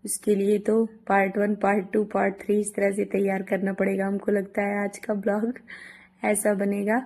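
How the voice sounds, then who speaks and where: A woman in her thirties with a hoarse voice, chatting homely and warmly to her viewers as she narrates a travel vlog. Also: mid-range voice, monotone, moderate pace. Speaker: vlogger.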